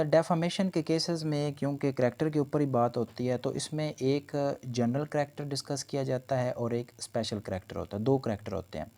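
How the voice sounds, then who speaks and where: A man speaks in a dark, mellow voice at an average pitch. He lectures on law in an explanatory way, often restating each point in other words. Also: vocal fry. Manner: explanatory, repeats and rephrases points. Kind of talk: lecture explaining legal points in Urdu mixed with English terms.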